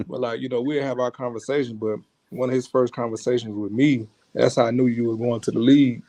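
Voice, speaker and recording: An easygoing man hosts a casual podcast chat, packing his speech with fillers and talking to the audience directly. His voice has a gravelly edge, and it sounds dry and close. His pitch sits in a middle range. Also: fairly even intonation, a little fast, dark tone, no room sound, low-quality recording. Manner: easygoing, filler-heavy, calls the audience "y'all". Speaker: podcast host. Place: podcast studio.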